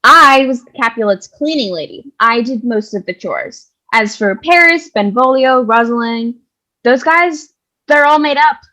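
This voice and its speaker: A woman's voice: fairly high pitch, animated, measured pace, sharp tone, low-quality recording. A woman playing an eccentric, self-assured cleaning lady who tells tales theatrically and emphatically in a staged comedy reading. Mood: breezy. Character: chatty, opinionated cleaning lady Sister Lawrence, eccentric storyteller.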